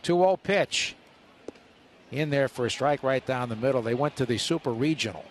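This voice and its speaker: A man's voice: mid-range voice, normal speed, a little hiss or hum. A male radio announcer delivers live baseball play-by-play in a mid-range voice. A faint wash of stadium ambience sits behind him. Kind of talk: live radio sports commentary. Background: ballpark ambience.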